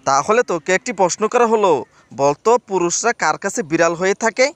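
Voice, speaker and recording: An exasperated cartoon schoolmaster, played by a man, with a very high male voice and a close, dry sound. He is stern and scolding in a theatrical, comic way. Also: lively intonation, fairly quick, fairly bright tone, no echo. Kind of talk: comedy cartoon dialogue.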